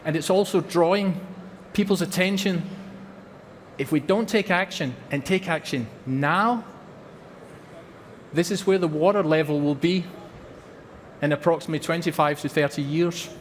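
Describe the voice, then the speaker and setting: A man's voice: fairly high pitch, moderate pace, pauses often, a little hiss or hum. Scottish man speaking in a big hall. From Scotland. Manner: earnest, repeats for emphasis. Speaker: company presenter. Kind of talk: trade fair presentation. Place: large exhibition hall.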